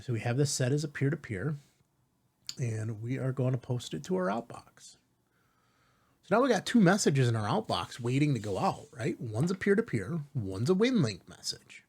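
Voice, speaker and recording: A man with a slightly husky voice presents a tutorial in a casual, explanatory way. His pitch moves around in a lively manner at a middle height as he guides the listener step by step. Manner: explanatory, checks in with "right?". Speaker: tutorial host.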